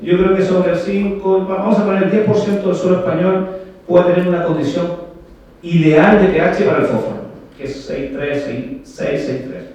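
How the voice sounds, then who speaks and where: A man's voice: high voice, steady tone, moderate pace, darkish tone. Chilean man lecturing in an echoing hall. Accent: Chilean Spanish. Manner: explanatory, frequent "¿vale?" checks, rhetorical questions to the audience. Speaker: agronomy speaker. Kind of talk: technical conference talk. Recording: very echoey hall, voice heard from far off.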